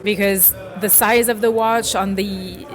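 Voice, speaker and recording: Lady chatting at a loud event, mid pitch, laughs a lot, crowd noise behind. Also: measured pace. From France. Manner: chatty, animated, laughs often, trails off and restarts. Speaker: interviewee, watch collector. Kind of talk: casual interview. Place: busy indoor event.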